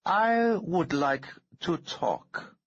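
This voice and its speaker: A man voicing a menacing bomber who speaks slowly and coldly, with a husky tone. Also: a little high, lively intonation, no echo, highs cut off, voice pushed up, exaggerated voice. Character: menacing man with bomb, slow, cold.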